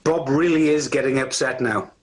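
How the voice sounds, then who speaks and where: A man's voice: mid-range voice, normal speed, crowd noise, lightly acted. A man playing the narrator of an amateur radio play, telling the story directly to the listener. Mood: storytelling.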